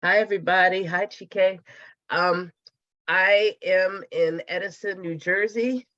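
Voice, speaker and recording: A woman calling in to introduce herself, her voice stuffy and congested from a cold. She speaks in a slightly halting way with fillers, and the sound is dull and dry with no room to it. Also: fairly low pitch, fairly even intonation, a bit bright, dry recording. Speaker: caller, grant writer. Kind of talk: casual self-introduction on a call.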